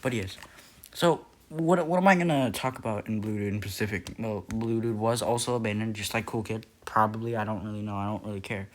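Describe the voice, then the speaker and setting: A teenage boy explains things for his channel in a loose, meandering way, trailing off and restarting often. His voice sits a little low for a young man. He sounds unscripted and easygoing. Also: fairly even intonation, brisk. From Nepal. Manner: rambling, hesitant, many fillers and restarts. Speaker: youtuber. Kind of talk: casual lore video narration.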